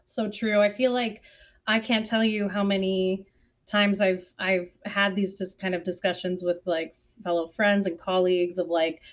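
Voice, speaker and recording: A woman's voice: mid-range voice, muffled recording. A woman in a casual panel discussion, talking informally and emphatically with lots of "like" sprinkled through. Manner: informal, heavy use of "like", emphatic. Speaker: theatre artist on a discussion panel.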